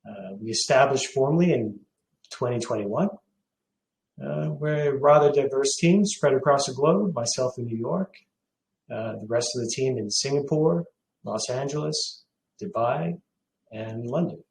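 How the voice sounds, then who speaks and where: A man in his forties from Hong Kong, answering questions as a company CEO on a video call, pausing with many filler sounds. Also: mid-range voice, lively intonation, vocal fry, reverberant, a little hiss or hum, rough recording. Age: 40s.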